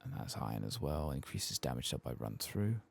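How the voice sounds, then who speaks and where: Very deep, gravelly soft-spoken man. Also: sing-song, brisk. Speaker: game streamer and host. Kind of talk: soft-spoken ASMR game playthrough commentary.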